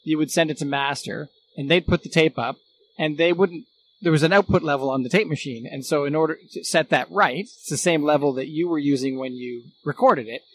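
Higher-pitched man explaining methodically. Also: fairly quick, a bit bright, slight background noise, rough recording. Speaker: guest, former radio technician. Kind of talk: casual technical explanation.

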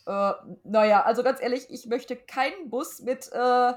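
Woman, fairly high voice, casual podcast co-host, conversational delivery. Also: moderate pace.

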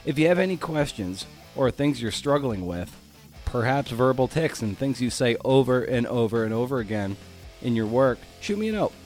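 A man's voice: medium pitch, sing-song, normal speed, a little mellow, soft background music. A man hosting a podcast in a casual, informal, chatty way. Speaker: podcast host and interviewer.

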